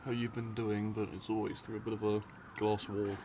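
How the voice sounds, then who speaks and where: A man from Europe talking in an unscripted, musing, unhurried ASMR ramble to his listeners. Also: lowish voice, little pitch movement. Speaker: audio roleplay creator talking to listeners. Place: by an open window at a desk. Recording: clear city noise with distant traffic. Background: city ambience, vehicles, sirens.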